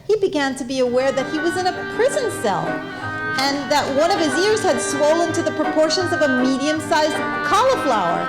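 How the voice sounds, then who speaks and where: A woman's voice: high-pitched, poor audio. A woman narrating a comic stage play as scripted storytelling.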